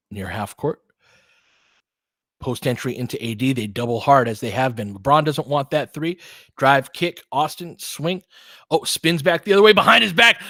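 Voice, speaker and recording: A man's voice: mid-range voice, bright, forceful tone, dry recording, studio quality. A man doing live basketball play-by-play as a partisan fan, in short clipped phrases with casual, slangy exclamations and bits of analysis. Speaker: fan play-by-play commentator and host.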